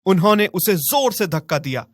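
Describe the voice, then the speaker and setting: Dry-sounding male storyteller, fairly high voice. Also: big pitch swings, normal speed, dry recording. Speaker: narrator. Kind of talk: scripted moral-story narration.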